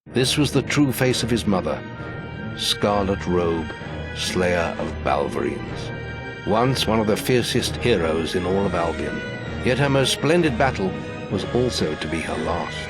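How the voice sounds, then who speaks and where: Deep, solemn legend storyteller. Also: male voice, lively intonation. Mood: grave. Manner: grave, measured storytelling. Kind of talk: scripted fantasy game narration. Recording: music under the voice.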